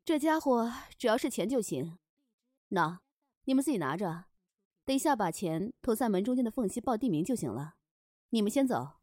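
A woman's voice: medium pitch, big pitch swings, moderate pace, darkish tone, no echo, studio quality. A woman playing the mysterious, shrewd mistress of a spirit inn in a Chinese fantasy radio drama, teasing and commanding. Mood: brisk, teasing. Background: background music.

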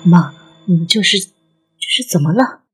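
A woman's voice: fairly low pitch, expressive, normal speed, big-room sound, faint music behind, close to an everyday voice. A woman voicing a doting middle-aged father with a fondness for learned talk, in an audio drama. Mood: alarmed. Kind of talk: Chinese audio drama acting.

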